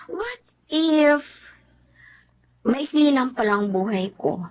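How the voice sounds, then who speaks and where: A woman's voice: high-pitched, expressive, slow pace, lo-fi sound. An actress playing a devoted, stubborn young woman in a Filipino melodrama, with a Filipino accent. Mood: playful, wistful. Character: devoted young woman, loyal best friend, emotional and stubborn.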